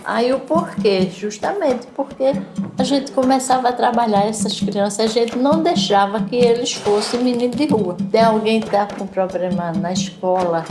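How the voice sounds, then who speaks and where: A woman's voice: fairly high pitch, normal speed, background noise. A woman with a Recife Brazilian accent giving informal spoken testimony as a member of the community. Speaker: community member recounting memories. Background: music.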